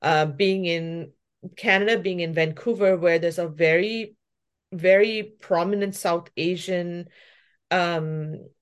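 A woman's voice: fairly low pitch, fairly even intonation, takes it slowly, clear, resonant tone. Reflective woman from Malaysia. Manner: reflective, laughs often while telling her story. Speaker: guest, pastry chef and shop owner. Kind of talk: casual interview conversation.

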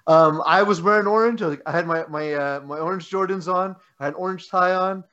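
A man co-hosts a casual sports podcast, talking loosely with many restarts. His voice sits a little high. The recording is muffled and lo-fi. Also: fairly quick, sharp tone. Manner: rambling, frequent restarts and fillers.

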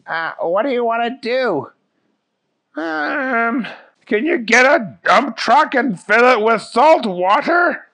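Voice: mid-range voice, animated, slow, fairly bright tone, pitched above the performer's own voice. A storyteller voicing an eager, flustered policeman who rambles, hesitates and exclaims. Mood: pleading. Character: flustered, earnest, eager policeman named Jerry.

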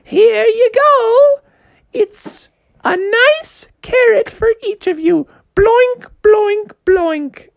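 A kindly old lady with a quavering, tearful-sounding voice, as a woman puts her on. She gushes in a high, wavering tone. The sound is dry and close. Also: drawn-out words, gravelly, no echo, exaggerated voice. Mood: gushing.

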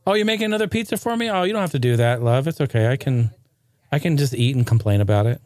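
Excitable laughing man, dry sound. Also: medium pitch, quick pace, no room sound. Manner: excitable, laughs often, says dude a lot. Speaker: musician hosting a chat. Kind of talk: casual chat.

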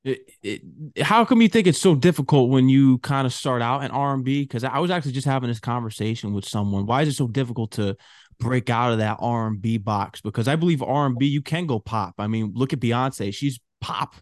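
A man's voice: mid-range voice, brisk, dry recording, clean studio sound. Agreeable male host asking questions. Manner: agreeable, conversational. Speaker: radio show host. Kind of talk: casual interview questions.

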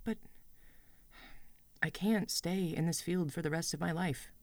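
A woman voicing a spirited young farm girl who longs to be a hero, speaking with passion that swings from hushed to shouting. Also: lowish voice, a little slow, dry recording. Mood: soothing. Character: spirited young woman farmhand dreaming of heroism.

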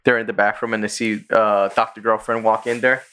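A man hosting a podcast in casual chat, rambling through stories with quick asides. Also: lowish voice, little pitch movement, bright, forceful tone, clear, resonant tone. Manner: rambling storyteller, sings and does quick voices. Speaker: podcast host.

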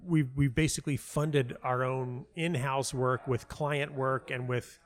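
A man speaking as an interview guest, telling personal anecdotes casually in a halting way, interrupting himself and repeating words for emphasis. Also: medium pitch, little pitch movement. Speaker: guest, playwright and audio dramatist.

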